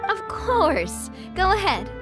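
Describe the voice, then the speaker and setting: Young female boss, game heroine, high voice, warm, responsible, faint music behind. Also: wildly swooping pitch, slow, full character voice. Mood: cheerful. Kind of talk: video game voice acting.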